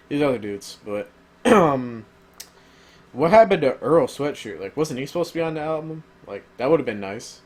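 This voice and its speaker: A man's voice: medium pitch, a little mellow. Rambling man losing his voice. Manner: rambling, filler-heavy, opinionated. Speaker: album reviewer. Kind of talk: casual spoken review.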